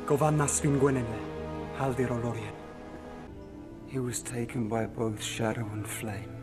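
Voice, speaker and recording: A man's voice: mid-range voice, steady tone, measured pace, close to an everyday voice. Male actor as a composed fantasy figure over music. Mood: solemn. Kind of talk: fantasy film acting. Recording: film soundtrack with music behind.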